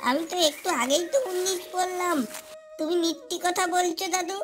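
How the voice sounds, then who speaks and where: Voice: very high-pitched, steady tone, a bit bright, faint music behind. A performer voicing a young grandchild in a cartoon, eager, whiny and childishly pleading. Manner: slow, drawn-out childish pleading. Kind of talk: cartoon voice acting.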